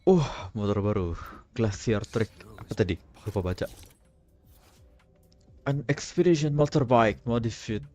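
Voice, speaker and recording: A man's voice: low-pitched, dark tone. A man with an Indonesian accent casually streaming a game, reacting and reading on-screen text aloud. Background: game music.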